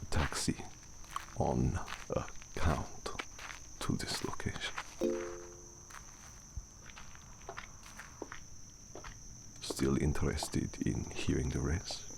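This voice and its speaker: A man playing a courteous, brooding vampire count in an ASMR audio drama, speaking formally in a gravelly near-whisper. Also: very deep voice, steady tone, exaggerated voice. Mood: gentle, courteous. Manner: formal, slow, measured speech. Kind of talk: ASMR roleplay acting. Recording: noisy, night crickets and outdoor ambience.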